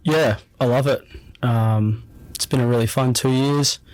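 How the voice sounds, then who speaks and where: Young Australian guy as a relaxed radio guest, average pitch, close and dry. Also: unhurried, no room sound, low-quality recording. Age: young adult. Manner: relaxed, easygoing, repeats himself with frequent fillers. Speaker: guest athlete. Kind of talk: casual radio interview.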